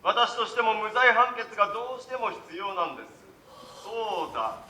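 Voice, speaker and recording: An actor playing a male hired killer, coolly courteous and measured yet menacing, in theatrical villain style. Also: high voice, expressive, bright, forceful tone, exaggerated voice. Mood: polite menace. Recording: echoey stage, distant microphone, faint noise.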